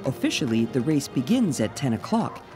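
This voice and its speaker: A man reading scripted documentary narration in a measured way. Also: medium pitch, very animated. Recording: voice-over with loud music behind.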